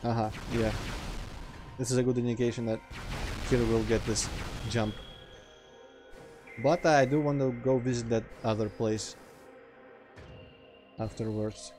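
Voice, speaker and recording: A man's voice: mid-range voice, fairly even intonation, measured pace, pauses often, over music. Dry-humored Russian man narrating gameplay. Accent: mild Russian. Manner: dry, sarcastic asides. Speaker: gameplay commentator. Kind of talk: casual let's play commentary.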